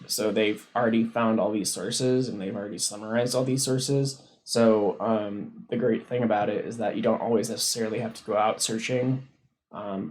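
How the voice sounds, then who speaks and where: A man hosting a science livestream, casually explaining a research paper as he reads, in a halting, informal way. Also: on the low side, poor audio. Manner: halting, informal, thinking aloud. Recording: noticeable background noise on livestream.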